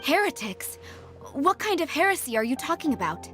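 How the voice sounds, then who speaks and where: An actress playing a composed, enigmatic woman in a video game, speaking in a measured, solemn and persuasive way. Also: sits high, sing-song, a bit bright, soft background music. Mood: calm, questioning. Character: composed, enigmatic woman preaching judgment and atonement. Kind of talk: dramatic video game voice acting.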